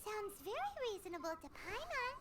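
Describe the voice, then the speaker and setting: A woman voicing a tiny, cheeky, curious fairy girl companion in a video game, with a squeaky childlike voice. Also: very animated, normal speed, background noise. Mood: curious, chirpy. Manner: refers to herself by name, exclamatory. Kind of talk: video game character dialogue.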